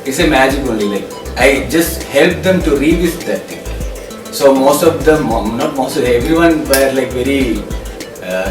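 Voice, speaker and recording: A man with an Indian accent informally explains as a workshop trainer, in halting, repetitive English phrasing. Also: medium pitch. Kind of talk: informal spoken explanation to camera. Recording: roomy, distant mic, music behind.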